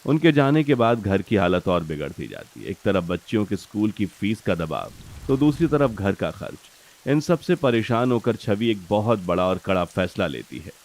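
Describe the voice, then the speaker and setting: A man's voice: on the low side, big pitch swings, moderate pace, a little mellow. A man narrating a scripted cartoon family story.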